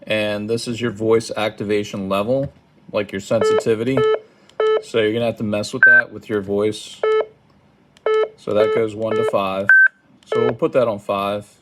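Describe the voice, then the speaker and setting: A man giving a casual step-by-step how-to, halting and thinking aloud, recorded indoors at a tabletop. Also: on the low side, very animated. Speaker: product tutorial presenter. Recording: clearly noisy home recording, button clicks.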